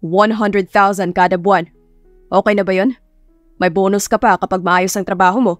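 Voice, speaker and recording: A woman's voice: mid-range voice, fairly even intonation, moderate pace, dry recording, soft background music, lightly acted. A woman voicing a grumpy, commanding male CEO in a story, curt and stern, with a Filipino accent. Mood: serious.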